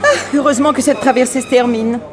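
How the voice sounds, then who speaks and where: An actress playing a fifty-year-old English society widow and aunt, haughty, effusive, flustered and lovestruck, in a 1960s French radio play. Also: female voice, high voice, fairly even intonation, fast, close to an everyday voice. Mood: lovestruck confiding. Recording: old radio drama with dance music and crowd.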